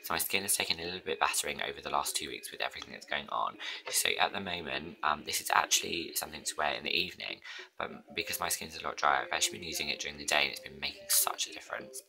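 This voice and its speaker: A man with a husky, hoarse voice chatting in a rambling way through his get-ready-with-me routine, often dropping to a whisper. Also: very deep voice, fast, pushed and bright, low-quality recording. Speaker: beauty vlogger.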